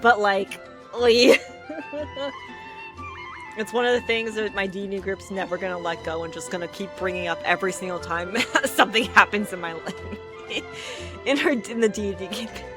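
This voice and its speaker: A woman's voice: mid-range voice, fairly even intonation, moderate pace. A woman game streamer chatting casually on a livestream in a rambling, giggly manner. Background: video game music.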